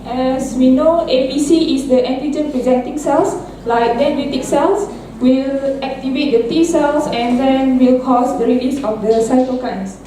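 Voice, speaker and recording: A woman's voice: high-pitched, steady tone, moderate pace, few pauses, mellow, slight background noise. A young woman student earnestly explaining a skin disease in a class presentation, with a few restarts. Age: young adult. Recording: echoey room from far off.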